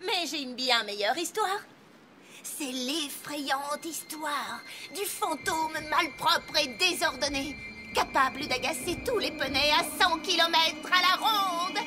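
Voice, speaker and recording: A woman's voice: high voice, slow. A woman voicing a prim, fussy young mare pony in a French cartoon dub, with an affected, theatrical manner. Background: cartoon music score.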